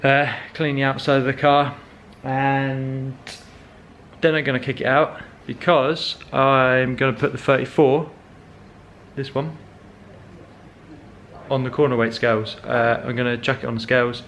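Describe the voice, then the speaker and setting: A man's voice: mid-range voice, little pitch movement, measured pace, a bit bright, slight background noise. A young man chatting casually in a car vlog, rambling with many ums and correcting himself as he goes. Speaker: car vlogger.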